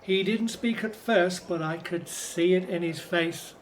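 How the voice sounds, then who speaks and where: A man's voice: high voice, a little slow, low-quality recording. A man's voice from an actor plays a serious Hebrew brother, troubled yet reassuring. The delivery is biblical radio drama, with a little background noise. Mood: troubled, weary. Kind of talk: religious radio drama acting.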